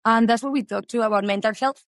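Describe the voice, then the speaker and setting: A woman's voice: a little high, fast talker, dry recording, clean studio sound. A woman in her thirties from Spain with a Spanish accent, eagerly talking about her book in a casual interview. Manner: earnest, eager, with non-native phrasing. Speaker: guest author.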